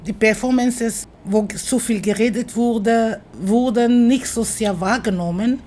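A middle-aged woman speaks in a very slow, deliberate way, pausing often to search for words. She sounds thoughtful and reflective as she answers interview questions about her work as an artist. A faint hiss sits under her voice. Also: medium pitch, a little mellow. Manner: slow, hesitant, many filler sounds, thoughtful. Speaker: performance artist and activist.

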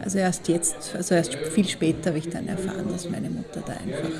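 An actress playing a grown daughter who haltingly recalls someone in a naturalistic radio drama monologue. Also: female voice, lowish voice, fairly even intonation, a crowd in the background, natural voice. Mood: wistful, hesitant. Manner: hesitant.

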